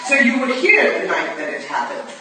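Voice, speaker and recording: A woman's voice: fairly low pitch, wildly swooping pitch, moderate pace, sharp tone, soft background music, exaggerated voice. Woman playing a commanding Victorian lady detective, echoey hall. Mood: menacing, imperious. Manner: formal, clipped, imperious, coolly analytical. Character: shrewd, commanding Victorian female detective, older mentor. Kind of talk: staged reading of a period drama. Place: live staged reading in a roomy indoor venue. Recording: echoey hall from far off, noisy.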